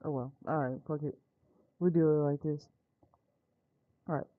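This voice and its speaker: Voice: medium pitch, fairly even intonation, normal speed, no room sound, phone-quality sound. An American streamer of indeterminate-sounding sex talking informally to viewers, muttering and swearing in a casual live-stream style. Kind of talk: casual live game stream chat with read-aloud visual novel text.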